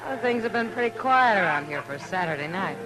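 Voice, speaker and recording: A woman's voice: medium pitch, animated, a little fast, natural voice, rough recording. An actress playing an easygoing, wry saloon woman with an American accent in a 1950s Western radio drama. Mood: wry, friendly. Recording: old radio drama with music.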